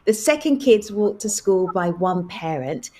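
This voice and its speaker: A woman's voice: mid-range voice, lively intonation, measured pace, darkish tone. A woman moderating an online panel, relaying audience questions and calling on panelists.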